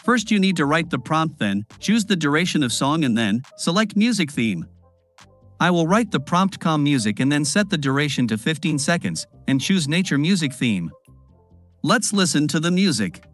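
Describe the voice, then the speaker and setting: A man giving step-by-step instructions in a screen-recorded software tutorial voice-over. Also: on the high side, normal speed, faint music behind. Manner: instructive, lively intonation.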